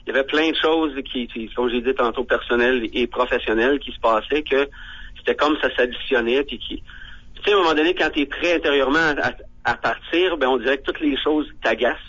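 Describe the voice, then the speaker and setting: A man speaks casually as a radio guest. His pitch sits in the middle of the range and he rambles while searching for words. The sound is dull and lo-fi, like a muffled radio line. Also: brisk, a bit bright, slightly rough. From Ontario.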